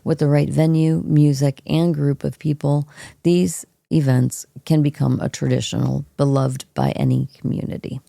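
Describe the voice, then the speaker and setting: Gravelly-voiced woman podcast co-host. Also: low-pitched, steady tone, normal speed, warm tone, no room sound, studio quality. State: hoarse, froggy voice. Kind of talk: reading an article aloud, then casual chat.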